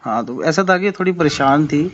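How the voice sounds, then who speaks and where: Fairly high-voiced man on a muffled phone call. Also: animated, moderate pace, noisy background. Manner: questioning, polite but pressing. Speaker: concerned caller asking questions. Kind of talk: serious informal phone conversation. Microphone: phone call recording. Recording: dull lo-fi recorded phone call.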